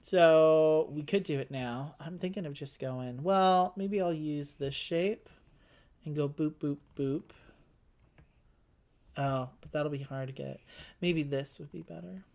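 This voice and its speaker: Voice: medium pitch, takes it slowly, darkish tone. Casual board game player thinking aloud. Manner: thinking aloud, planning moves.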